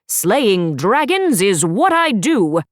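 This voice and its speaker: A woman's voice: mid-range voice, sing-song, takes it slowly, no echo, clean studio sound. A woman voicing a vain, showy teenage prince boy in a storybook reading, pompous and theatrical. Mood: boastful. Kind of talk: storybook read-aloud.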